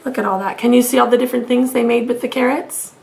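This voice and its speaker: A woman's voice: fairly high pitch, steady tone, vocal fry. A woman in her thirties reading a picture book aloud expressively, speaking as a teacher to young children. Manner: slow, deliberate, addressing young children. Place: small home room, indoors.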